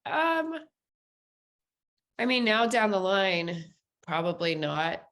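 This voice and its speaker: A woman's voice: medium pitch, very animated, takes it slowly, fairly bright tone. A woman speaking as a podcast guest in a casual interview, explaining things candidly and informally. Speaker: podcast guest, police dispatcher.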